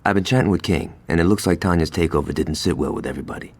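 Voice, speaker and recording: An actor playing a sceptical, short-tempered gangster lieutenant in a video game cutscene. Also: male voice, low-pitched, racing, gravelly, a little mellow, breathy edge, exaggerated voice. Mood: businesslike, doubtful.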